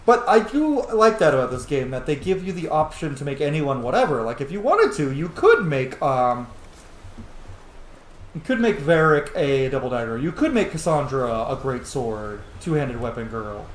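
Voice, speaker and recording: A man's voice: medium pitch, big pitch swings, moderate pace, reverberant, a little hiss or hum. A man giving casual, chatty gameplay commentary, exclaiming and swearing as he plays. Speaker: let's player narrating gameplay.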